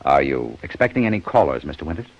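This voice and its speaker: A man's voice: very deep voice, big pitch swings, quick pace, vocal fry, exaggerated voice. A man playing a shrewd, sardonic police inspector in a 1950s radio detective drama, with a dry, probing manner. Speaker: radio actor. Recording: muffled old-time radio broadcast recording.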